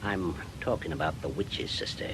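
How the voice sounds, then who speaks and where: A man's voice: extremely low pitch, moderate pace, fairly bright tone. A man playing an older retired policeman in a radio drama, vengeful, self-important and emphatic, on a noisy recording. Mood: bitter, determined.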